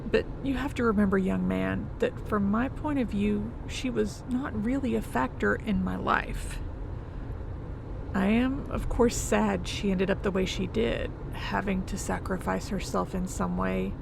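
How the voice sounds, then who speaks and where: Woman playing a creaky-voiced, composed professor. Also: medium pitch, steady tone, a little slow, background noise. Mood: solemn, composed. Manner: precise, formal, self-possessed. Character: composed, knowledgeable female professor, older than her companion. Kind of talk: audio drama dialogue.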